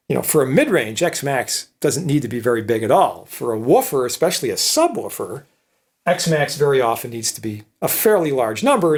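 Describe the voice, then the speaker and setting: Higher-pitched male lecturer. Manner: explanatory, conversational, checks understanding with tag questions. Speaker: electronics professor. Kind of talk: informal technical lecture.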